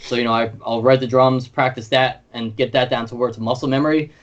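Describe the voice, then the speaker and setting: Man, mid-pitched, informal musician interview, animated chat, slightly muffled lo-fi sound. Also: fairly quick. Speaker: band drummer and singer being interviewed.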